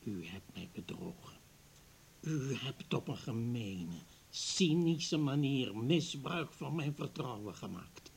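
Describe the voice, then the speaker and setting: An actor playing an embittered, grieving older professor in a radio play, husky and weighty, full of wounded accusation. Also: male voice, mid-range voice, expressive, slow pace, a little hiss or hum. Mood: bitter, wounded. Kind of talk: Dutch radio play acting.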